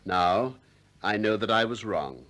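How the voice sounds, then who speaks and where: An actor playing a shrewd, probing Athenian philosopher in a 1960s radio drama, deliberate and courteous with polite irony. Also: male voice, on the low side, lively intonation, a bit bright. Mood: courteous, ironic. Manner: deliberate, politely ironic, questioning.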